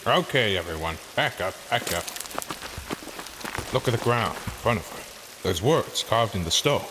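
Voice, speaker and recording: A middle-aged man playing a gruff, wry older treasure hunter in an audio drama, with a dry wisecracking delivery. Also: lowish voice, expressive, a little slow, background noise. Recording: long hall reverb under music.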